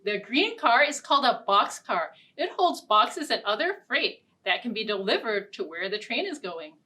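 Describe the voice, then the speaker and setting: A young woman hosting a storytime for small children, speaking in simple, deliberate phrases. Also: on the high side, sing-song, sharp tone. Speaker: children's library storytime host. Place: indoor storytime set. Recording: distant mic, ukulele music. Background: ukulele strumming.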